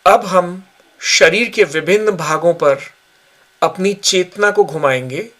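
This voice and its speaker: A man guiding a yoga nidra relaxation session with slow, calm, measured instructions. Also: sits high. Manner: slow, calm, measured guidance with long pauses. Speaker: yoga teacher.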